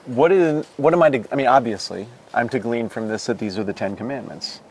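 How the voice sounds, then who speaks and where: A man's voice: mid-range voice, brisk, a little mellow, a little hiss or hum. A man hosting a show, asking curious, reflective questions while being shown around a monastery garden. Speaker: host interviewing a monk. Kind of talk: curious casual interview.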